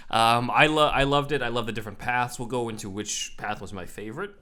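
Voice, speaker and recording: A man's voice: mid-range voice, a little fast, bright, forceful tone. A man hosting a podcast, explaining comic history in a rambling, casual way with many fillers. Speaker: podcast host.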